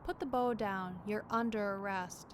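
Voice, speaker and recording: A woman's voice: medium pitch, moderate pace, natural voice. A woman playing a stern, sardonic, commanding police captain in an audio drama. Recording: clear background noise.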